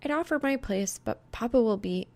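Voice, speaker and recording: A woman voicing a young woman gamer who is caring, bossy yet shy, in a soft earnest way. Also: fairly high pitch, lively intonation, normal speed, pitched above the performer's own voice, natural voice. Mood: worried, grumbling.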